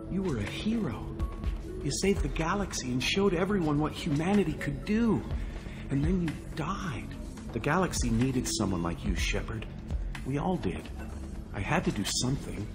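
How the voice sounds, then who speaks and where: An eager, self-important fanboy who fancies himself a space hero in a video game. His pitch leaps around animatedly as bluster turns to earnest pleading. Music plays clearly behind him. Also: very animated, normal speed. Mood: defensive, earnest. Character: delusional, boastful wannabe male space hero, eager fanboy.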